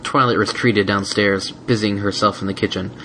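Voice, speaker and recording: A man's voice: lowish voice, fairly even intonation, moderate pace, sharp tone, slight background noise, poor audio. A man reading a fan fiction story aloud as scripted narration.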